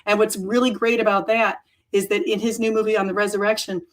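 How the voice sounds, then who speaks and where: Woman host, froggy hoarse voice, rambling unscripted livestream chat. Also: mid-range voice, little pitch movement, fast. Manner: rambling, self-correcting, chatty. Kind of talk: off-the-cuff livestream talk.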